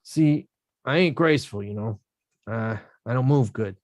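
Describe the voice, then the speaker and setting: A man's voice: medium pitch, a little slow, darkish tone, dry recording, clean studio sound, natural voice. Rocky, a blunt boxer, read by a man. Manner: slangy, blunt, self-mocking. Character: Rocky, a rough-talking young male boxer. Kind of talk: script table read.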